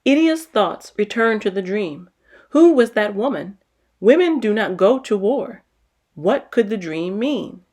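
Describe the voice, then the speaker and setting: Lady reading a kids book out loud, storytime host, expressive narration. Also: medium pitch, unhurried. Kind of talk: expressive children's read-aloud narration.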